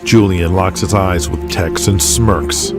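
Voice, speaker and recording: Very deep-voiced male drama narrator. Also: steady tone, slow, over loud music. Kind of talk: scripted dramatic narration in present tense.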